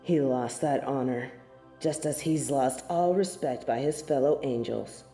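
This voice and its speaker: Woman acting a haughty, slow-spoken angel of judgement. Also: a bass voice, dark tone, echoey room, faint music behind. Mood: cold superiority. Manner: haughty, formal, archaic phrasing, disdainful. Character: snobby, haughty female angel of judgement, proud, scornful tsundere. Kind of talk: scripted audio roleplay acting.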